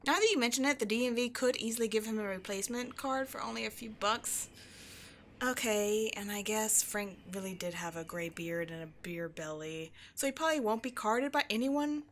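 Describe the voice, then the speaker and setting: A woman's voice: a little high, a little fast, natural voice. A woman playing a petty, theatrical ghost from an old horror film in a scripted comedic audio roleplay, mock-sinister and easily exasperated. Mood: chatty, scheming.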